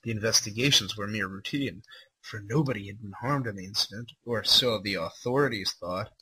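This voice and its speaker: A man with a bright, forceful voice and a slightly rough, husky edge reads aloud on a livestream. He treats the text theatrically and with open mockery, as an amateur dramatic reader and commentator would. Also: fairly low pitch, fairly quick, low-quality recording. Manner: incredulous asides, breaks into laughter. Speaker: livestream host reading a fan story aloud. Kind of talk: live dramatic reading with mocking commentary.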